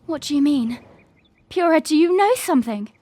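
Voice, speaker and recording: A woman's voice: high-pitched, expressive, normal speed, dark tone. A woman playing an earnest, dutiful young princess, serious and prim, in an audio drama. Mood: troubled. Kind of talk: fan audio drama acting.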